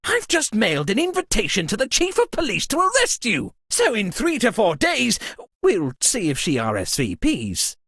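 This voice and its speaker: A fussy, upper-crust party host from a video game, full of pompous theatrical outrage. The pitch leaps wildly from phrase to phrase, and the voice has a husky grain, recorded very dry. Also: female voice, wildly swooping pitch, normal speed, a bit bright, dry recording, full character voice. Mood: indignant, pompous. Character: fussy, dramatic upper-class party host, easily scandalized. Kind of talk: theatrical scripted game voice lines.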